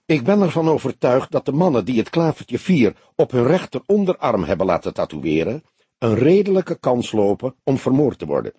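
A man voicing a weary old police detective in an audiobook, earnest and kindly, speaking in a measured, emphatic way. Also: mid-range voice, very animated, mellow, breathy edge, no echo.

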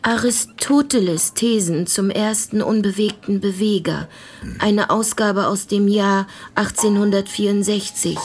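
A woman's voice: mid-range voice, unhurried, a little mellow, slight background noise, close to an everyday voice. A woman playing a wry, tart parish deaconess, a pointed and somewhat evasive witness in a radio play. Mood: evasive, earnest.

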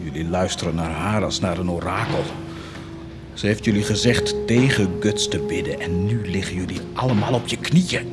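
A man's voice: deep voice, normal speed, loud music behind the voice, lightly acted. An actor playing a proud, tormented, brooding man in a theatrical radio drama, moving between muttering and anguished outcries. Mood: probing, bitter. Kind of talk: stage-like radio drama acting.

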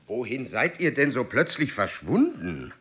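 An actor playing an aged, celebrated explorer baron in a German radio play, pompous and oratorical. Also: male voice, medium pitch, wildly swooping pitch, measured pace, very noisy. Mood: reproachful.

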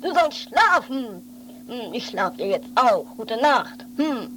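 A woman's voice: fairly high pitch, sing-song, slow, fairly bright tone, a little hiss or hum, full character voice, low-quality recording. A woman playing a weary, bossy but protective guardian in an improvised radio play, grumpy and dismissive. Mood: stern.

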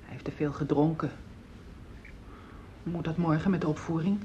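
A middle-aged actress playing a worried, weary wife in a radio play, speaking in a hesitant, subdued way. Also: female voice, low voice, fairly even intonation, moderate pace, mellow, highs cut off, slight background noise, natural voice. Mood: anxious.

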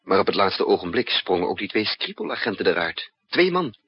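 An actor playing a resourceful fugitive spy in a 1960s Dutch radio drama, with a commanding, clipped manner. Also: male voice, fairly low pitch, very animated, husky, no echo, exaggerated voice. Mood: measured, suspenseful. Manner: commanding, clipped orders alternating with measured narration. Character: resourceful, urgent male fugitive spy with lost memory. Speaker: narrator and lead actor. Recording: muffled, noisy 1960s radio recording.

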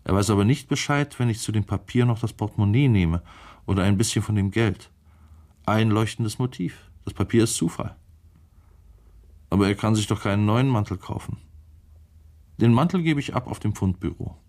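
A male actor performs a brooding, calculating man in a literary radio drama. His voice sits fairly low. He muses to himself in short, clipped phrases, as if weighing each option. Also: moderate pace, pauses often, darkish tone, close to an everyday voice.

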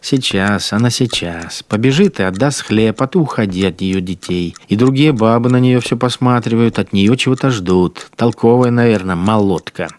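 A man's voice: mid-range voice, natural voice. An actor playing a cruel, mocking collaborator policeman in a radio drama, speaking his inner monologue as he toys with his victims. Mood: sneering, brooding. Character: cruel, sneering wartime collaborator policeman with machine gun. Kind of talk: dramatic first-person interior monologue.

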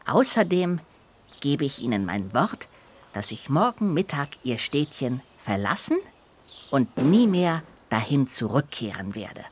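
An actress playing a polite, emotional little ghost in a children's radio play, with a formal, expressive delivery. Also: female voice, a bass voice, a little slow, a little hiss or hum, exaggerated voice. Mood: solemn, remorseful.

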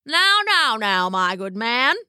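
A woman's voice: fairly high pitch, very animated, slow, pushed and bright, clean tone, no room sound, studio quality, full character voice. A woman voicing a tubby, overly polite male raccoon who speaks in a flowery, genteel way. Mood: pompous.

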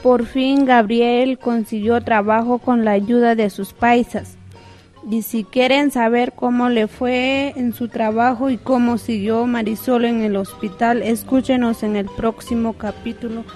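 A woman's voice: on the high side, steady tone, a little slow, darkish tone, close to an everyday voice. A woman with a Guatemalan accent acting a worried rural mother who narrates a scripted radio drama. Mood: informing. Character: worried rural mother telling the family's story. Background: music.

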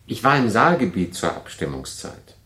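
A man acting a guarded, quietly jealous refugee who recounts his exile in a German radio drama. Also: lowish voice, big pitch swings, moderate pace, breathy edge, exaggerated voice. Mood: wary, questioning.

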